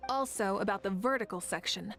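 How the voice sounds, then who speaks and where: A woman's voice: medium pitch, brisk, fairly bright tone, soft background music, close to an everyday voice. An actress playing a confident, composed adult warrior woman in a video game, playful and courteous. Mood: thoughtful, composed.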